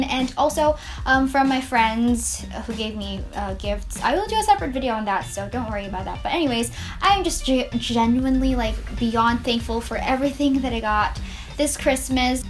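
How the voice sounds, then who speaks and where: Bubbly high-voiced young woman vlogger. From Philippines. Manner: bubbly, gushing, lots of fillers. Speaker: beauty vlogger. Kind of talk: casual chat to camera.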